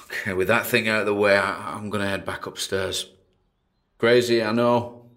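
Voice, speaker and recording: A creaky low voiced ghost hunter dude, on edge, halting, talking into his recorder all alone, horror audio drama. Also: fairly even intonation, a bit bright. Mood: fearful, driven. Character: nervous, driven male paranormal investigator recording himself alone. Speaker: paranormal investigator.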